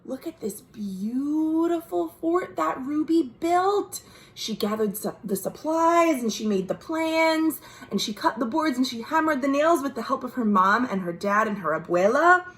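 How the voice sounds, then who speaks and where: A woman's voice: high voice, measured pace. A woman librarian reading a picture book aloud for children at home, speaking expressively and talking to the kids directly. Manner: warm, expressive, addresses children. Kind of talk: virtual storytime read-aloud.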